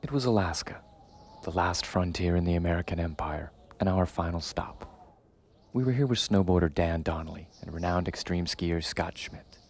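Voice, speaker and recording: A man reading scripted documentary narration in a measured, storytelling way. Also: low-pitched, slight background noise. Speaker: voice-over narrator. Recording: music bed under the voice. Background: music.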